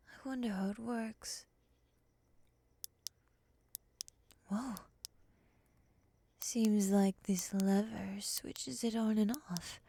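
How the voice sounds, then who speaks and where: Woman voicing a soft, mellow, whispery moth monster lady. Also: on the high side, gravelly, slightly rough, heavily acted. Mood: curious. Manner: soft, often whispery, condescending endearments. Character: calm, exasperated, predatory moth monster woman, doting captor. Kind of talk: ASMR roleplay.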